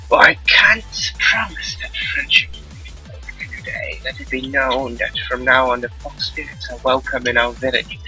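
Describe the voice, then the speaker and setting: Guy doing a stately village elder for a visual novel, husky n forceful, very proper, bit of music behind. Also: mid-range voice, heavily acted, low-quality recording. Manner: formal, declamatory.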